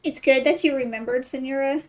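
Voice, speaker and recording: A woman's voice: high-pitched, fairly even intonation, a little fast, a little mellow. A woman giving casual, playful, slangy commentary on a video game as she plays. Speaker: gaming streamer.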